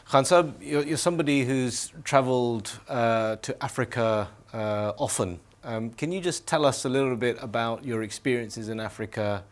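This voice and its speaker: A man's voice: medium pitch, normal speed, few pauses. A man hosting a charity telethon in a studio, polite and welcoming, with frequent hesitant fillers. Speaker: telethon host.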